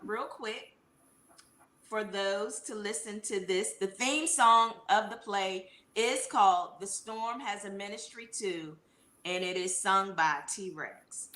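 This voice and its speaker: A woman's voice: mid-range voice, takes it slowly, a bit bright. A woman hosting a casual interview with guests as the show's host. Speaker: show host and playwright. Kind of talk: casual hosted cast interview. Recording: roomy echo, faint background noise.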